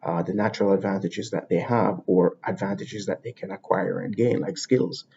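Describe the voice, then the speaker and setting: A low-voiced man with a gravelly, husky edge talks through his ideas without a script. He thinks aloud and often loops back over his own words. The sound is dull and lo-fi. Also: fairly even intonation, normal speed, warm tone. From Jamaica. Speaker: podcast host. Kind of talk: unscripted explanatory monologue. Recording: dull lo-fi recording.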